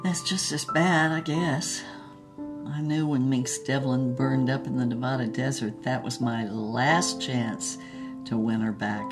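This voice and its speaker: A woman's voice: very deep voice, steady tone, unhurried, over music. An actress playing a defiant, tender older woman artist, telling stories in an emphatic, theatrical way for an audio drama. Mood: wistful regret. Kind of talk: audio drama acting, storytelling.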